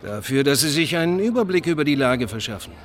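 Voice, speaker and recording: A man playing a smooth, discreet company security chief in a radio crime drama, formal and evasive. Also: on the high side, very animated, fast. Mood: courteous, guarded.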